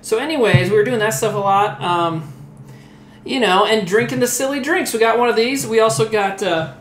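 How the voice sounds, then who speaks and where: High-voiced man in his forties, roomy echo. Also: moderate pace. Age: 40s. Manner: loose, chatty storytelling with laughs and swearing. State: a little tipsy from rum. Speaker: comedian streaming while cooking. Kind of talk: casual rambling chat. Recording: echoey room with faint background noise.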